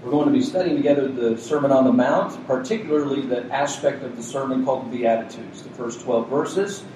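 A man's voice: mid-range voice, brisk, mellow, distant mic. A man pastor with a soothing voice delivers a sermon in a conversational, lightly joking way. His voice sounds very echoey and set back from the mic, with a faint noise under it. It was recorded in a community center meeting room. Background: faint hum of a cooling unit.

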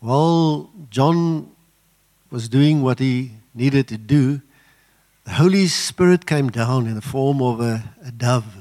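An older man, an Afrikaans speaker, preaching a sermon in an earnest, halting way with many fillers. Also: medium pitch, darkish tone. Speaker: guest preacher.

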